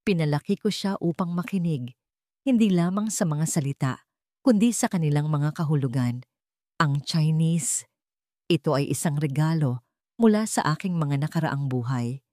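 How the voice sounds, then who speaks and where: Woman voicing a wise, mellow elderly grandmother. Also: low-pitched, animated, normal speed, no room sound, studio quality. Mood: earnest, solemn. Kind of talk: audio story dialogue.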